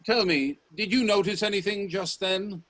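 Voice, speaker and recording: An actor playing a confident, eccentric professor and inventor in a radio play, assured, formal and grandly insistent. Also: male voice, high voice, moderate pace, fairly bright tone. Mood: brisk, commanding.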